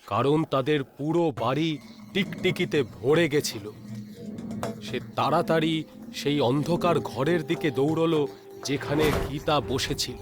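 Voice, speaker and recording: A man's voice: on the high side, measured pace, music in the background. A man narrating a scripted ghost story as a storyteller.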